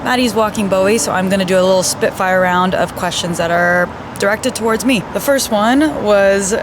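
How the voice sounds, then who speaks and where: A woman's voice: medium pitch, normal speed. Canadian woman vlogger, native Hebrew speaker, casual chat, traffic noise behind. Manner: chatty, laughs easily. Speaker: vlogger, nurse. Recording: noisy street and car background.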